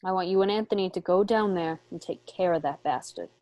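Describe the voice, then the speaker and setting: A woman acts a tough crime boss with a score to settle. The voice is fairly low, bitter and commanding. Faint noise sits behind it, as from a home microphone. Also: brisk, mellow, muffled recording, natural voice. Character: tough, vengeful mob boss giving orders.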